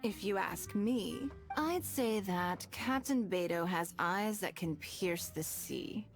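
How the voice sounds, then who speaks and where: A woman playing a shrewd, wealthy noblewoman in a video game, elegant, composed and gently teasing. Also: mid-range voice. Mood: composed, gracious. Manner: refined, composed, deliberate. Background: music.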